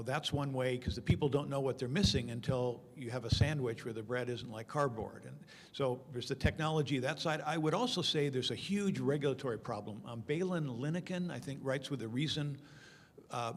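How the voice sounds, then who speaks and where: A man speaking informally on a conference panel, explaining with anecdotes and examples in a reverberant hall. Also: medium pitch. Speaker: panel speaker answering audience questions. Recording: long hall reverb over conference sound system.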